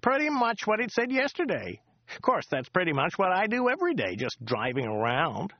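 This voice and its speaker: A man voicing a chatty, excitable driver who rambles, repeats himself and laughs at his own jokes. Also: moderate pace, dry recording, muffled recording, heavily acted. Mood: rambling chatter. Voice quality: high, loud and excitable. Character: chatty loud male American taxi driver. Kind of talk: audiobook character voice.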